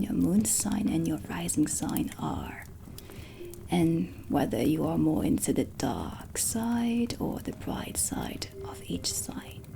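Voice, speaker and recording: A woman speaking softly and breathily in a measured ASMR lesson, half whispering, as a witch teacher. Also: sits low. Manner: soft, breathy, measured teaching delivery with deliberate pauses. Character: dark witch teacher, stern and aloof. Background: ambient music.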